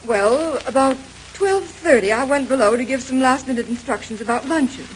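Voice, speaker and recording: An actress playing an anxious, excitable wife in an old radio mystery, fretful and melodramatic. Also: female voice, sits high, normal speed, lightly acted. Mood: uneasy, explaining. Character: anxious, excitable wife fearing for her husband. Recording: noisy old radio drama recording.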